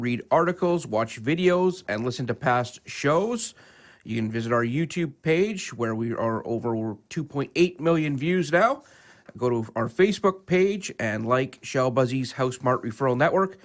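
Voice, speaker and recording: A man with a medium-pitched voice makes announcements on the radio. He is the show's operations manager, reading out details for listeners. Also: lively intonation, unhurried.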